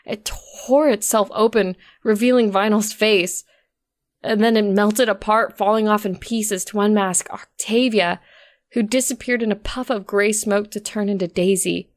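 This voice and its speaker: A woman reading scripted fiction aloud as an expressive story narrator. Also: medium pitch, a little slow.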